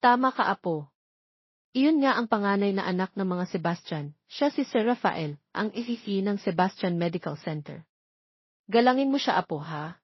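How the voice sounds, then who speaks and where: A Filipino woman voicing a kindly grandmother who gently explains and instructs, in a romance story. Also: medium pitch, expressive, moderate pace, slightly rough. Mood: kindly, instructing. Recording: dull, roomy, lo-fi audio.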